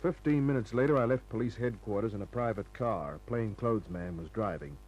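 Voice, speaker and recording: A hard-boiled detective played by a male actor from a 1950s radio crime serial. The voice is fairly low and husky, and the delivery is tough, clipped and cynical, full of slangy bite. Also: brisk, a little mellow, natural voice, low-quality recording. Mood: brisk narration.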